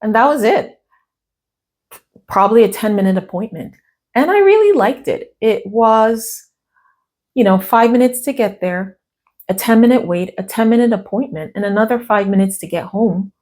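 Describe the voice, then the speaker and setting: A woman's voice: a little high, expressive, moderate pace, darkish tone. An American woman vlogging casually, telling a story from her notes with quoted asides, her voice still worn from a cough. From United States. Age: middle-aged. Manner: chatty, reading from notes, quoting others in asides. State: recovering from a cough and lost voice.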